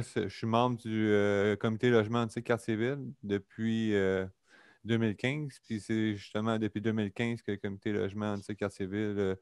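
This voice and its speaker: A man with a Québécois French accent giving an earnest public statement over a call, steady with frequent hesitations. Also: on the low side, clear, resonant tone, no echo, clean studio sound. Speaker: public hearing participant. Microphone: video or phone call.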